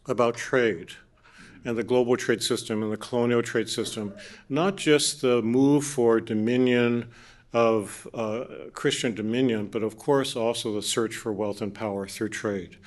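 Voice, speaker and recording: A man giving an academic keynote talk and introducing the panel, speaking in a discursive way full of restarts and asides. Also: mid-range voice. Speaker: panel moderator. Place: conference hall.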